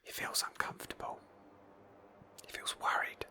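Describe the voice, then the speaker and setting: A woman's voice: normal speed, pushed and bright. Whispering ASMR woman storyteller. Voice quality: breathy, unvoiced whisper. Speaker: ASMR roleplay narrator and game player. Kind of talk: whispered ASMR, improvised storytelling over a game playthrough.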